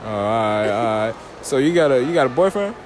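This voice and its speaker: A man's voice: mid-range voice, animated, brisk, crowd noise, background noise. A young man doing casual street interviews in a shopping mall, teasing and joking in slang, recorded on a handheld phone. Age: young adult. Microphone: phone held out by hand.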